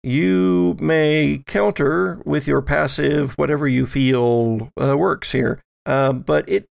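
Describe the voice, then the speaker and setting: Male game master for horror tabletop play: unhurried, gravelly, descriptive storyteller who pauses for suspense. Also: on the high side, steady tone, dry recording, clean studio sound.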